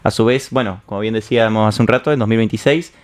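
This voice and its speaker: A man, a radio co-host briskly reading a list of historical dates on air. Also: lowish voice, clean tone. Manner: brisk, matter-of-fact listing.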